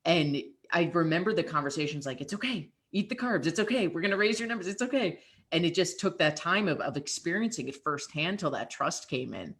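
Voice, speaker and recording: Low-voiced, reassuring woman coach. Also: expressive, a little fast, a bit bright. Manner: reassuring, laughs easily. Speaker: fitness coach. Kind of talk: conversational interview.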